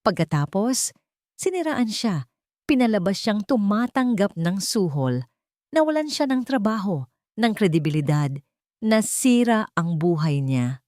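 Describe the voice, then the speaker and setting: A woman's voice: medium pitch, big pitch swings, darkish tone, no echo, studio quality, pitched above the performer's own voice. A woman with a Filipino accent voicing a grave, determined man in a dramatic audio story. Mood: bitter. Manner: grave, deliberate. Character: serious, determined man, journalist's son seeking justice.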